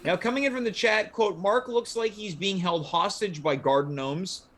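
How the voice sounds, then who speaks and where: A man's voice: high-pitched, normal speed, a bit bright. A man hosting a casual livestream chat show, steering the conversation. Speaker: show host.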